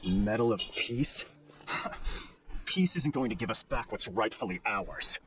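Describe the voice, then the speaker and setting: A man's voice: lowish voice, animated, slow pace, a bit bright, slight background noise. A man playing a bitter, scheming villain in a video game, with a smug, sneering manner.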